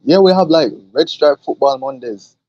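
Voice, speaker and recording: A man's voice: mid-range voice, lively intonation, normal speed, dry recording. A man co-hosting a podcast in casual chat, laid-back and hesitant with many fillers, on a dull lo-fi recording. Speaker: podcast co-host. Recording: dull lo-fi sound.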